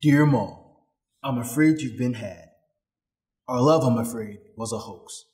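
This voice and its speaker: A man acts out a smug mercenary spy for a radio play. His voice sits at a middle pitch, theatrical and taunting, in a roomy, echoing space. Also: unhurried, darkish tone, close to an everyday voice. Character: smug male secret operative, master of disguise, mercenary. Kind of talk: stage-style radio drama acting.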